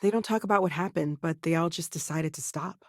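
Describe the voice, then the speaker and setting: An actress playing a young, earnest and caring woman scientist and space explorer in a video game, speaking in a natural, conversational and expressive way with a wry edge. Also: on the low side, brisk, dry recording, clean studio sound, lightly acted. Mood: calm, thoughtful.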